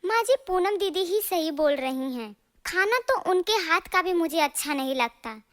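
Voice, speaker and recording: A woman voicing a boastful, bickering young daughter-in-law with a dramatic, contrary manner in an animated family story. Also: very high voice, normal speed. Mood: indignant, boastful.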